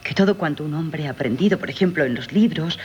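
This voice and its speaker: A woman's voice: low-pitched, lively intonation, fast, darkish tone, lo-fi sound. An actress playing a modest, curious young woman in a Castilian Spanish radio drama, demure and full of questions. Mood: wondering. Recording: noisy 1970s radio broadcast.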